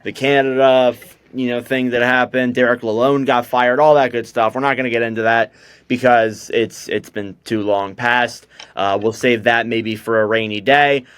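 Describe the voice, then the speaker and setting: A man's voice: medium pitch, fairly even intonation, normal speed, fairly bright tone, smooth, no room sound. Chatty young man hosting a podcast. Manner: chatty, loose, lots of fillers. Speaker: podcast host. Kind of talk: casual hockey podcast chat.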